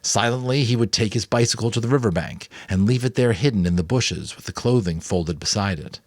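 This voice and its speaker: A man's voice: on the low side, brisk, no room sound. A man narrating a book expressively, breaking off for casual commentary. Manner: casual asides between expressive reading. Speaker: amateur audiobook narrator.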